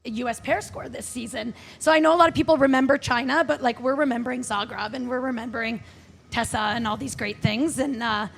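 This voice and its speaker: A woman's voice: fairly high pitch, fairly even intonation, fairly quick, creaky. A woman talking casually and emphatically as a podcast guest and coach. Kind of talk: casual live podcast talk. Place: live show before an audience. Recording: noisy live venue recording.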